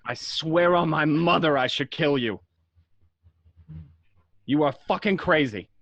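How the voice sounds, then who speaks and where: A man's voice: a little high, measured pace, fairly bright tone, no room sound, voice pushed down. A man playing a hot-tempered, swearing mobster husband in an online radio play, on a dull, dry call. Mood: angry.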